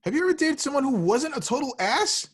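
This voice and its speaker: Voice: sits high, big pitch swings, brisk. An actor playing an awkward, sardonic young slacker hero in a casual, wry table read. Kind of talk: amateur screenplay table read.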